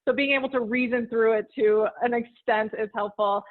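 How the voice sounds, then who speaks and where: A woman's voice: on the high side, fairly even intonation, moderate pace. Woman host on a tinny line. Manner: friendly, summarizing questions. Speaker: interview host. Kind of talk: conversational interview.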